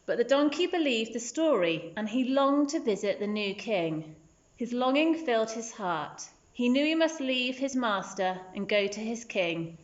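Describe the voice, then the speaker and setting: A woman's voice: a little high, lively intonation, unhurried, dull audio. A woman teacher reading a children's storybook aloud as scripted narration.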